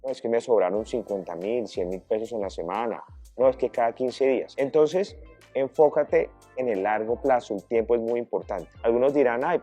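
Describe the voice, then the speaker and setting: A man's voice: mid-range voice, warm tone, slightly rough. A man, a financial advisor in his thirties, coaching the viewer in a direct, earnest way. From Colombia. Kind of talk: direct-to-camera advice talk.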